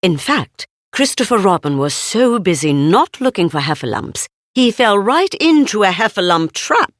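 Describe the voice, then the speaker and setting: A woman's voice: mid-range voice, big pitch swings, moderate pace, no echo, clean studio sound. A woman narrating a children's story, reading expressively from a script as a storybook narrator.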